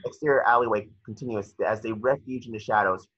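Husky guy reading out script action lines, bright forceful voice, dull call audio. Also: on the low side, quick pace, dry recording. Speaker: narrator. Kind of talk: scripted narration of screenplay stage directions. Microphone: video call.

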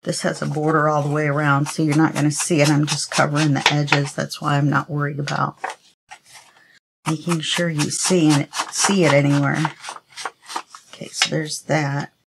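A woman's voice: deep voice, little pitch movement, moderate pace, vocal fry. A woman hosting a craft tutorial, casually chatting to herself as she works, a little sniffly. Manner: chatty, talks to herself while working. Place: craft table at home. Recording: noisy home recording.

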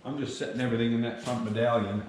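A man's voice: medium pitch, steady tone, racing, a little mellow. An older man narrating a tractor engine repair in a large workshop, thinking aloud in a plain-spoken way as he works, often some way from the camera mic. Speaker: farm vlogger. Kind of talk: casual how-to narration. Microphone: camera mic, sometimes across the shop. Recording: noisy shop recording.

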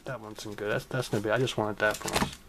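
A man's voice: fairly low pitch, fairly even intonation, fast talker, creaky, heavy background noise. Rambling casual man showing off comics. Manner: rambling, hesitant, many ums and restarts. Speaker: comic collector showing his haul. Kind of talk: casual vlog talk to camera. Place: home room in front of a TV.

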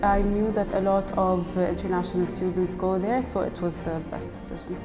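A woman's voice: fairly low pitch, steady tone, brisk. A woman in her early twenties from Lebanon, giving an interview testimonial as a student. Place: indoors against a plain wall and banner. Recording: reverberant hall, music underneath. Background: clear background music.

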